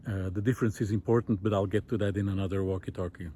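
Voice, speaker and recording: A man talking reflectively while walking on a forest path outdoors, pausing often with fillers and lifting his voice on key points. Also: low-pitched, fairly even intonation, mellow, slight background noise. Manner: thoughtful, many pauses and fillers, rising emphasis on key points. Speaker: nature video essayist.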